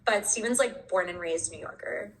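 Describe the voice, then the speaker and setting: A young woman podcast guest, raised in Washington State and Connecticut, talking in a relaxed, thoughtful way with lots of fillers. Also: medium pitch, moderate pace, poor audio. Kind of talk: casual chat.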